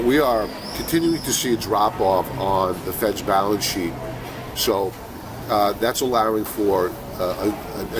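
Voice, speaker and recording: A middle-aged man with a cold, sniffling now and then, giving relaxed market commentary as a trading show host with lots of fillers. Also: on the low side, noisy background. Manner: conversational, many fillers and restarts.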